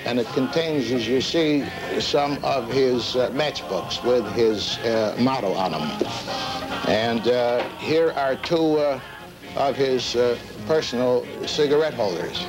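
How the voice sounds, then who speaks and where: A middle-aged American man showing off his collection in halting, formal, deliberate phrases. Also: medium pitch, low-quality recording. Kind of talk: informal show-and-tell. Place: cluttered indoor collection room. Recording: old degraded videotape, music behind.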